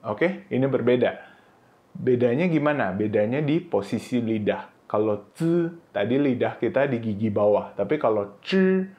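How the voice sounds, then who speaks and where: Man, language teacher, casual lesson, demonstrates sounds loudly and slowly, mid pitch. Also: animated, dull audio. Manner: demonstrates syllables in high, drawn-out, emphatic bursts; casual instructive talk with frequent "oke" and "nah". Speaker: Mandarin teacher. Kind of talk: pronunciation lesson video.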